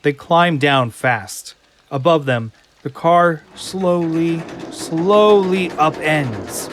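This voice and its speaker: A man narrating an audio drama from a script in a deliberate, suspenseful manner. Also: fairly high pitch, a bit bright, a little hiss or hum.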